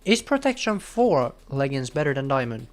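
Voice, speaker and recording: Excitable young man streaming. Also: medium pitch, normal speed, soft background music. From Finland. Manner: chatty, bursts into loud excited outbursts. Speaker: game streamer. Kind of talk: casual live gameplay commentary.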